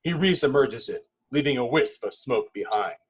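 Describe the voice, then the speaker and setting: A man with a husky voice at a middling pitch narrates a film script. He delivers stage directions dramatically, in short phrases broken by pauses. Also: expressive. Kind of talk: dramatic screenplay narration in a table read.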